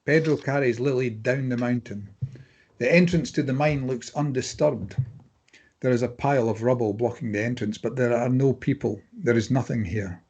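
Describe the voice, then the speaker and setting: A man's voice: medium pitch, moderate pace, a little mellow, husky, muffled recording. A man reading stage directions as narrator, in scripted dramatic present-tense narration.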